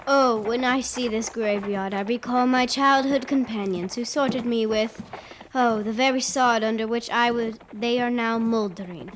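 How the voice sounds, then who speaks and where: A high female voice acting out a village midwife and widow in a radio play. She cautions and gossips with a foreboding turn, in old-fashioned storybook speech. The recording carries clear noise and clicks. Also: moderate pace, lightly acted, rough recording. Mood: cautioning.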